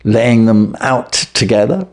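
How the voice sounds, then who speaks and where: A man narrating a classic short story as an expressive audiobook reader. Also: fairly low pitch, unhurried.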